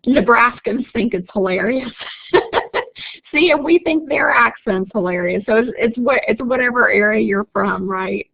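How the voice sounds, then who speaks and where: A woman's voice: on the high side, unhurried, vocal fry, slightly rough, no room sound, dull audio. A woman with an Oklahoma accent giving a chatty live online lecture as a college instructor, reading out and answering student comments. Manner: chatty, reads out student comments, laughs easily.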